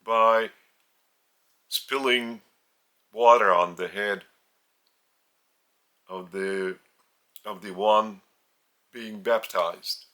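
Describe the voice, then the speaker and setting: A man with a Lithuanian accent, a spiritual teacher speaking in short halting phrases with restarts. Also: lowish voice, fairly even intonation, bright, forceful tone.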